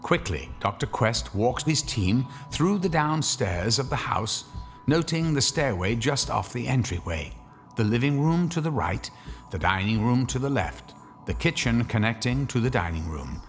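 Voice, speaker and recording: The narrator of a horror story, delivering scripted lines dramatically. His pitch moves a lot, and the voice rings with long reverb over faint music. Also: lively intonation, unhurried. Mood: suspenseful.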